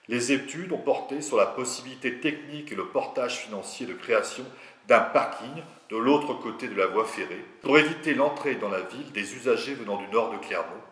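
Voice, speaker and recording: A man's voice: medium pitch, fairly bright tone. A man giving a prepared political address as a local politician, speaking in a formal, didactic manner. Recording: echoey room.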